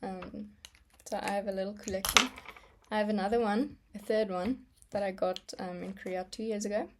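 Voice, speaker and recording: A woman's voice: mid-range voice, steady tone, moderate pace, heavy background noise. A young woman whose first language is Afrikaans, rambling casually about her art in a vlog while stamping and sketching at her desk, with frequent ums and small laughs. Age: young adult. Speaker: artist vlogger.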